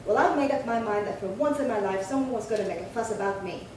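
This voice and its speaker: A woman's voice: a little high, quick pace, darkish tone, lightly acted. A woman playing a resentful, defiant seventy-nine-year-old spinster in an amateur old-time radio crime drama, querulous and emphatic. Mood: aggrieved. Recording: roomy echo, distant mic, faint hiss.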